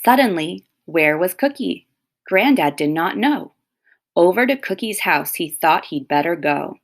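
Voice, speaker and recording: A woman reads a rhyming children's story aloud in an expressive way. Her voice sits a little low, with a clear creak in it, and she goes slowly, adding small asides for young listeners. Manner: pausing to explain and comment to young listeners. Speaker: teacher reading a picture book aloud.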